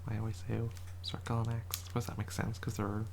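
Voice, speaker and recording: An ASMR creator in a quiet house, whispering a soft, rambling show and tell and often correcting themselves, voice a little rough from a cold. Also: medium pitch, monotone, quick pace, noisy background. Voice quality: whispered, soft-spoken delivery. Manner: rambling, self-correcting, chatty.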